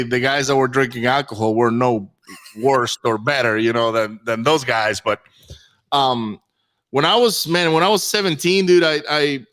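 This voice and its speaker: A man's voice: medium pitch, fairly bright tone. A man from Venezuela whose first language is Spanish, chatting informally and telling personal stories as an interview guest. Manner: informal, says man and brother often. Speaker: interview guest, real estate investor. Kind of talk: casual personal storytelling.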